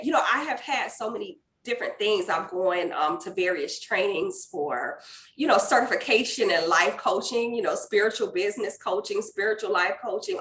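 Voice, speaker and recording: A woman's voice: medium pitch, fairly bright tone, reverberant. A woman hosting a conference interview, chatting in an upbeat, casual way and laughing easily. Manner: chatty and upbeat, many "you know" and "right" fillers, laughs easily. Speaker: conference host.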